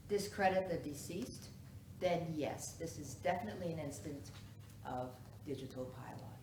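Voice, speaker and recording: A woman playing a formal psychiatrist on the witness stand in a stage play, speaking in a measured, clinical, deliberate way. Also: low voice, a little mellow. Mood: firm, assured. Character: formal female psychiatrist testifying as expert witness. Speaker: actor in a one-act play. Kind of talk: stage courtroom drama acting. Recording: echoey room, distant mic, noisy.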